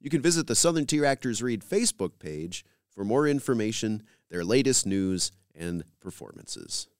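A man hosting a podcast, reading scripted links in a measured, announcer-like way. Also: lowish voice, big pitch swings, no echo, clean studio sound. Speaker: podcast host. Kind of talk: scripted podcast host narration.

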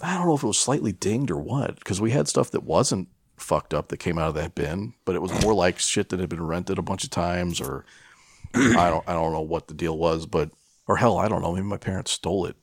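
A middle-aged American man chatting casually as a podcast co-host, telling stories in a rambling, halting, profane way. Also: low voice, dry recording, studio quality. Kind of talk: casual chat, rambling storytelling.

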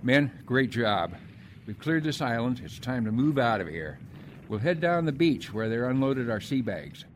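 A man playing a brisk, gruff Marine sergeant giving orders in a World War Two radio drama. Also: mid-range voice, big pitch swings, a little hiss or hum, natural voice. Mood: commanding.

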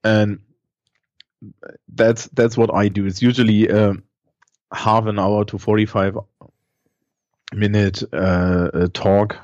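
A man's voice: lowish voice, level tone, slow pace, long pauses, darkish tone, dry recording, dull audio. A man with a Thuringian German accent, a teacher who speaks English as a second language and talks casually with many restarts and fillers. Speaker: teacher and podcaster, guest. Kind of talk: casual conversation.

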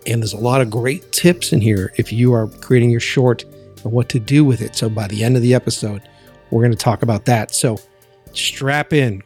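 A man's voice: medium pitch, darkish tone. A man from the US East Coast hosting a podcast, chatty and joking, swearing casually. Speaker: podcast host. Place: Los Angeles, remote call.

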